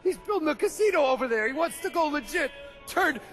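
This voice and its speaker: A man's voice: very high voice, lively intonation, brisk, fairly bright tone, soft background music. An actor playing a terrified young mob henchman in a video game, stammering, swearing and pleading. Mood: cowed, desperate.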